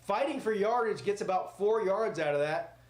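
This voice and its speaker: Higher-voiced man in his forties, sports livestreamer. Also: lively intonation, normal speed. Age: 40s. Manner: blunt, joking, rambling. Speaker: host of a live sports watch party. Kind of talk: casual livestream chat with play-by-play commentary.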